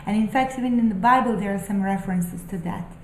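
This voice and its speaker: A woman who speaks English as a second language, musing aloud about spiritual ideas in a halting, thoughtful way, with many pauses and fillers. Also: mid-range voice, roomy sound, a little hiss or hum, low-quality recording. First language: not English. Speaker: spiritual vlogger. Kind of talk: unscripted musing monologue.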